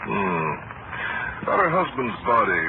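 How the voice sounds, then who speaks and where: A man's voice: on the low side, big pitch swings, takes it slowly, full character voice. An actor playing a composed, courteous polygraph examiner who narrates and works his own case in an old-time radio detective drama. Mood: thoughtful. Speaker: narrator and lead detective figure. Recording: very noisy, tinny old radio recording.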